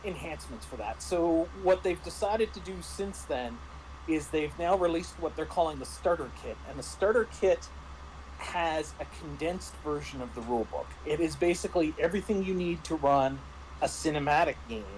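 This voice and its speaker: A man in a casual review discussion, talking haltingly with repeated words and false starts. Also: a little high, darkish tone, slight background noise, low-quality recording. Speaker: panelist reviewing a tabletop roleplaying game.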